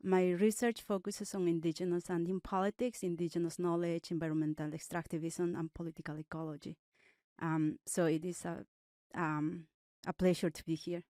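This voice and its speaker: Woman, Peruvian accent, Quechua native speaker, careful measured delivery, flat mellow voice. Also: fairly low pitch, dry recording, studio quality. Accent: Peruvian Spanish. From Peru. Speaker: guest scholar. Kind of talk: podcast interview.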